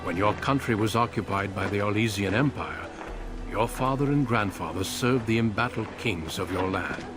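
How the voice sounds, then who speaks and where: An actor playing a solemn, courteous warrior in a fantasy game, with a grave, measured and formal delivery. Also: male voice, lowish voice, music in the background, lightly acted. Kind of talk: scripted fantasy game narration and dialogue.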